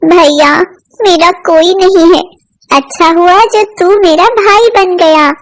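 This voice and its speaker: Meek young daughter-in-law for a cartoon fable, played by a woman; very high, deferential, pleading, unhurried.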